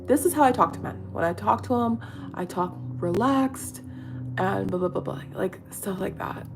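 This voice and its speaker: A woman's voice: medium pitch, normal speed, vocal fry, music in the background. A middle-aged woman chatting casually and confidingly in a get-ready-with-me vlog, full of fillers and restarts. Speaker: vlogger.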